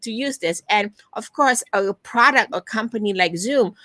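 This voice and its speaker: Lively Malaysian-born woman, dry close sound. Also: mid-range voice, moderate pace, no room sound. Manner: animated storyteller, frequent "right?" tags. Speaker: guest, virtual event producer. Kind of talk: casual interview chat.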